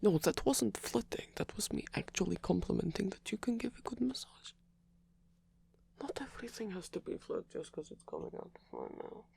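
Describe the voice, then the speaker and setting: A man playing a flirtatious, theatrical rock singer in an intimate ASMR romance roleplay, teasing and murmuring with breathy, husky, hesitant speech. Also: sits high, animated, normal speed, darkish tone, heavily acted. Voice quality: breathy, husky, often whispered. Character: flirtatious theatrical male rock singer, tired, playfully indulgent lover.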